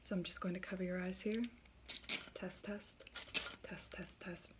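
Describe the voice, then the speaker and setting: A woman softly whispering an ASMR doctor roleplay in an unhurried, soothing way. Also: on the low side, little pitch movement. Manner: hushed, soft-spoken, unhurried, soothing. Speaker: ASMR video host playing a doctor.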